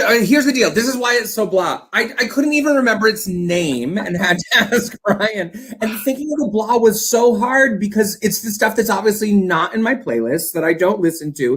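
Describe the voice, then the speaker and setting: A man's voice: sits high, a bit bright. Emphatic man in casual, opinionated chat. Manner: emphatic, enthusiastic, piles up adjectives. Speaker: co-host, panelist on a choral music show.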